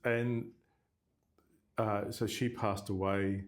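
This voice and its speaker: A man's voice: on the low side, little pitch movement, slow pace. A man hosting a casual church interview, prompting his guest with short follow-up questions.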